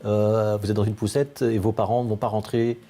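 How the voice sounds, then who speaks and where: A man hosting a studio political interview, asking brisk questions with many hesitations. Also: lowish voice, level tone, dark tone. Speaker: TV interviewer and host. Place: television studio.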